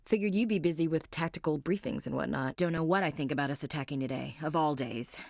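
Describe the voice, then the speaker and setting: Young woman soldier, low voice, frank, wry, dry studio sound. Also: fast talker, creaky, a little mellow, no echo. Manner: wry, frank, plain-spoken. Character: blunt, devout young woman marine soldier. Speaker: marine gunnery chief. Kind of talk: video game character dialogue.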